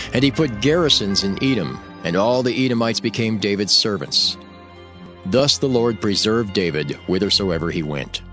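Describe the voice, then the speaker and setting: A man reading scripture as a formal, measured audio Bible narrator. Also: fairly low pitch, fairly bright tone. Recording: background music under the voice. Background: background music.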